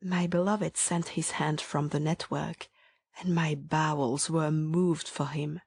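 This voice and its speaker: Casting: female actor for devoted biblical bride, bedtime scripture drama. Husky, hushed, very measured delivery. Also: low voice, steady tone, dry recording, full character voice. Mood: hushed longing. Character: loving, yearning bride of the Song of Songs.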